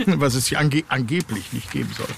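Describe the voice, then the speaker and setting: A middle-aged or older man giving conversational, halting interview answers as a horror author. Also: medium pitch, lively intonation. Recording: clear ambient background noise.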